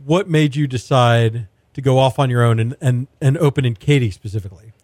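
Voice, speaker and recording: A man's voice: mid-range voice, a little fast, a little mellow, no echo. A man hosting a food podcast, asking curious questions and rephrasing them as he goes. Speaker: podcast host, food interviewer. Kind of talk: casual interview questions.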